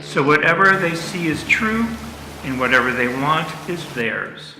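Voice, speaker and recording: Radio drama showman on an orientation film, male actor: theatrical, presenterly, distant, with loud music behind. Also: a little high, animated, unhurried. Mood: preachy. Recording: echoing, distant playback over loud music.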